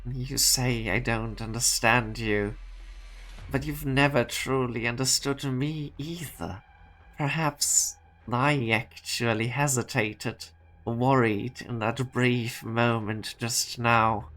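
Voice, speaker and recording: A man voicing a calm, manipulative corporate boss in an amateur fan dub, speaking in a measured, coaxing way. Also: medium pitch. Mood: regretful.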